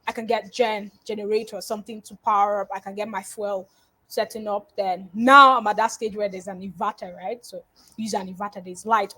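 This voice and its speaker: A woman from Nigeria, a guest in a casual interview, talking with lively swings in loudness and many fillers over a video call. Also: medium pitch, slightly rough, low-quality recording. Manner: animated, with big swings in loudness and frequent fillers.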